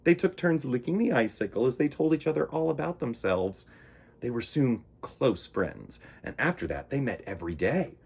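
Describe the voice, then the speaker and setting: A man hosts a storytime for young children. His mid-pitched voice moves widely up and down as he reads and chats. His delivery is expressive and conversational, with simple phrasing meant for small listeners. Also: normal speed, gravelly, slightly rough. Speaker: host of a children's storytime show. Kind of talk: children's picture book reading and chat.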